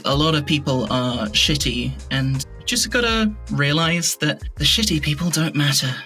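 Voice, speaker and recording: A male podcast guest with a fairly high, bright voice, chatting casually about creative work. He is candid and irreverent and swears freely. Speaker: podcast guest, voice actor and audio drama creator. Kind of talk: casual interview chat.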